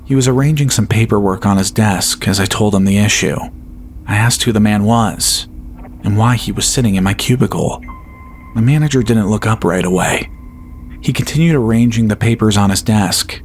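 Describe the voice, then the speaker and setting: A man reading a first-person horror story as a podcast narrator, in a measured, unhurried way. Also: on the low side, a little hiss or hum.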